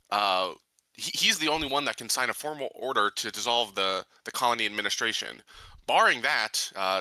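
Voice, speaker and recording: A man's voice: mid-range voice, pushed and bright, dry recording, rough recording. A man playing a tabletop roleplaying game, improvising in character with hesitant starts and officious wording.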